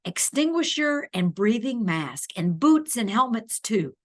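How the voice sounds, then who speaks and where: A woman reads a picture book aloud for small children at an unhurried pace. Her pitch swings up and down in a lively way as she leans on key words. She adds playful asides to the child as she goes. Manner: animated, deliberate emphasis with playful asides to the child. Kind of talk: expressive read-aloud for young children.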